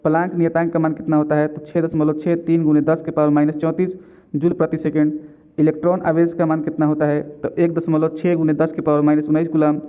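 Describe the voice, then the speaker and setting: Guy reading off exam questions and answers one after another, kinda flat, sounds like it's over a phone. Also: a little high, fairly quick, narrow, tinny audio. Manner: methodical, steady question-then-answer rhythm. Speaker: exam-prep tutor.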